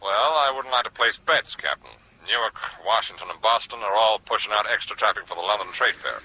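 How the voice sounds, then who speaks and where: An actor playing a businesslike, unflappable airline operations officer in a radio drama, formal and matter-of-fact. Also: male voice, lowish voice, lively intonation, fairly quick, pushed and bright, husky, heavily acted, low-quality recording. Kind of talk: broadcast radio drama acting.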